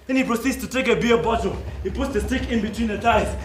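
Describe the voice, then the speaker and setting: A man's voice: high voice, quick pace, soft background music. A man performing an intense, emotive theatrical monologue as a child narrator, in short emphatic phrases. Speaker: stage actor narrating as a child. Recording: roomy stage recording with faint noise.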